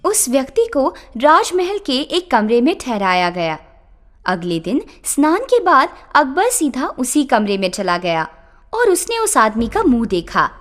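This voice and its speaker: A woman's voice: sits high, huge pitch swings, unhurried. A woman narrating a scripted story for children. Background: background music.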